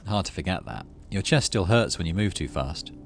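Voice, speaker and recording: A man's voice: deep voice, lively intonation, fairly quick, creaky, slight background noise. A man hosting a gaming video, reading story text aloud and adding muttered asides as he plays.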